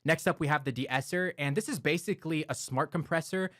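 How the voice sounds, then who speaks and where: A man's voice: fairly high pitch, brisk, dry recording. Man explaining audio gear, dry close mic. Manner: relaxed, step-by-step explaining with filler words. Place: home studio. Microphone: close broadcast dynamic mic.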